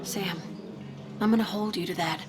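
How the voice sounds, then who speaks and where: A woman's voice: medium pitch, normal speed, natural voice. An actress playing a protective small-town mother in a video game, pointed and restrained in her reproach. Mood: reproachful.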